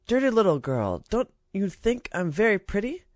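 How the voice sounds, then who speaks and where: A man's voice: sits high, sing-song, normal speed, no room sound, clean studio sound, voice pushed up. A man voicing a spoiled, vain little princess who talks in a haughty, self-satisfied way.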